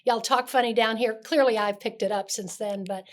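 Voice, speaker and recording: A woman's voice: fairly high pitch, fairly even intonation, fairly quick. An older woman raised in Dallas, speaking earnestly as a guest in an interview, with frequent restarts. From Connecticut, raised in Dallas, Texas. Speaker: guest, shelter CEO. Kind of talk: conversational interview.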